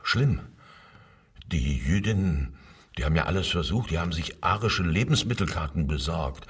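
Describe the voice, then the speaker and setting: An actor playing an evasive, servile official who pleads and justifies himself under interrogation in a radio crime drama. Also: male voice, low-pitched, lively intonation, normal speed, slightly rough, recorded from a distance, heavily acted. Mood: spiteful, eager.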